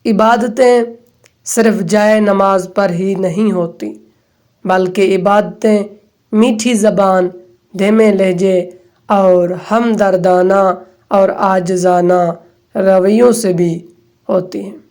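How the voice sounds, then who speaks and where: Slow-paced woman reciting quotations. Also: mid-range voice, fairly even intonation, mellow. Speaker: narrator of quotations. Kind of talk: scripted recitation of Urdu quotes.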